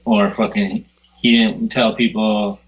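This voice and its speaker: A man's voice: lowish voice, flat delivery, takes it slowly, low-quality recording. A man co-hosting a podcast, chatting casually in a blunt, profane, emphatic way. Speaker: podcast co-host. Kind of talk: casual chat.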